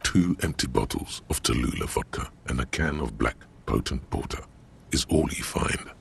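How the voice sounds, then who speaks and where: An actor voicing a grave, world-weary inner voice that narrates a detective's thoughts in slow, literary, ominous phrases. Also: male voice, full character voice. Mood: dry, grave. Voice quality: deep, gravelly. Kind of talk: scripted dramatic game narration.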